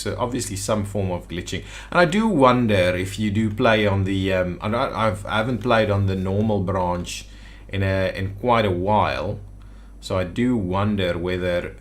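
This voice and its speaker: A man giving casual gameplay commentary as a video host, thinking aloud and muttering to himself. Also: low voice. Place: indoors at a computer.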